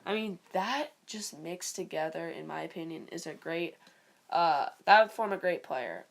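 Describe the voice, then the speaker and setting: A woman with a raspy voice and a stuffy nose, giving rambling, casual sports commentary for her video channel. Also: lowish voice, expressive, normal speed. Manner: rambling, full of "like" and "you know". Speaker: video creator.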